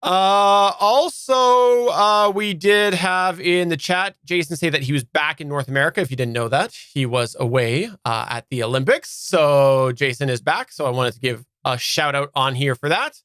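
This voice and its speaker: Bright-voiced male podcast co-host. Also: on the high side, normal speed, few pauses, smooth, no echo, studio quality. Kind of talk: casual live podcast discussion, reading listener chat.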